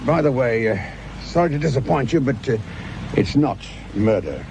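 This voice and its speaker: Gravelly, sardonic middle-aged English police sergeant played by an actor. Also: male voice, medium pitch, expressive, moderate pace, background noise, close to an everyday voice. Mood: dry, deliberate. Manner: sardonic, grumbling, dry-humoured. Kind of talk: radio drama acting.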